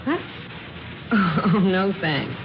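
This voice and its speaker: A woman's voice: fairly low pitch, animated, extremely slow, vocal fry, full character voice. A woman playing a polite, guarded young job seeker in a 1940s radio drama, with a proper and hesitant manner. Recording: muffled, noisy old radio recording.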